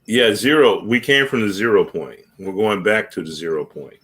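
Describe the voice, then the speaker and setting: A man's voice: on the low side, moderate pace, background noise. A man in casual chat, talking emphatically and swearing freely.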